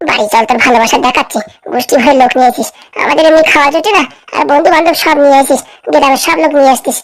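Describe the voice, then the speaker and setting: A woman's voice: sits high, steady tone, racing, low-quality recording. A woman voicing a nagging, sarcastic village wife in a comedy cartoon, with a Pabna Bengali accent. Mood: angry nagging. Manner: sarcastic, scolding. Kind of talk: rural comedy cartoon skit.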